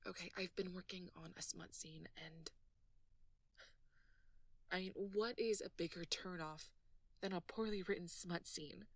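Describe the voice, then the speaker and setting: A nerdy, flustered girlfriend played by a woman murmurs in a soft, gravel-edged voice, often close to a whisper. She is bashful and playfully teasing in a halting, conversational way. The sound is very dry, as an intimate ASMR roleplay. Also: fairly low pitch, dry recording, distant mic.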